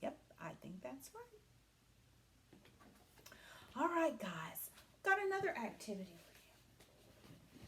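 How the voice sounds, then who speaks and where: A woman teacher leading a read-aloud lesson for young children, speaking patiently and pausing after her questions. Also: high voice, very animated, fairly bright tone, slight background noise.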